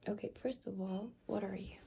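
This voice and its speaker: A young woman doing a whispered ASMR roleplay, speaking softly and hesitantly with many fillers. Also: mid-range voice, fairly even intonation, brisk, vocal fry, a little hiss or hum. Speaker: ASMR creator.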